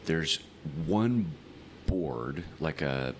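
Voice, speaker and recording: Very deep-voiced man podcast host. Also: lively intonation, extremely slow, a little hiss or hum. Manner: matter-of-fact, explains details step by step. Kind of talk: casual chat.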